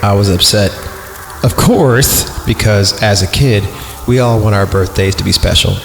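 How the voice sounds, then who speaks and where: A man's voice: fairly low pitch, moderate pace, creaky. A man reading a story aloud as a podcast host, irreverent and crude, breaking off into sarcastic comic asides. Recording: roomy echo with music behind. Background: music.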